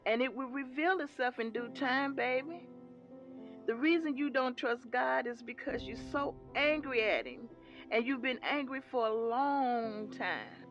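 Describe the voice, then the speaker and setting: A woman playing a devout, gently firm church mother. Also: a little high, lo-fi sound, lightly acted. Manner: soft, motherly, calls him baby. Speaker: mother of the lead. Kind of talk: audio drama acting.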